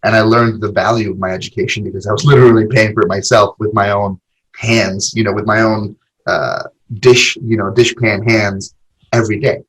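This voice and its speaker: A podcast guest in his forties talks through his memories in a loose, conversational way, often doubling back on his own words. His voice sits low and has a breathy, husky edge. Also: fairly even intonation, a little fast, a bit bright, low-quality recording. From Argentina. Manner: rambling, with many fillers and repeated words. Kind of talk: casual interview conversation.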